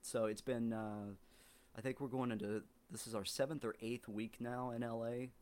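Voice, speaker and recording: A man's voice: medium pitch, fairly even intonation, moderate pace, darkish tone. A man from Ohio, a writer, speaking as a podcast guest in casual chat, rambling with many ums and restarts. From southern Ohio, US.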